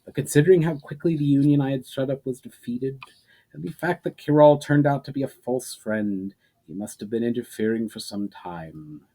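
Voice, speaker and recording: A man's voice: mid-range voice, moderate pace, mellow, voice pushed up, close to an everyday voice, rough recording. Man playing a venomous, scheming sorceress. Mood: bitter, conceding. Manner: incredulous, spitting out words with spite. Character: spiteful, furious scheming sorceress, proud and venomous.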